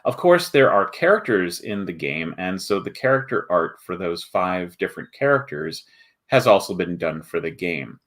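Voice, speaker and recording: Low-voiced man, halting explainer. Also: very animated, a bit bright. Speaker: host, board game video maker. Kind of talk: casual livestream walkthrough.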